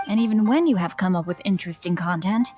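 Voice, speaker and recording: A woman's voice: on the low side, fast talker, dark tone, faint music behind, natural voice. A woman voicing a bookish, polite teenage boy novelist in a video game, speaking formally and eloquently. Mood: earnest.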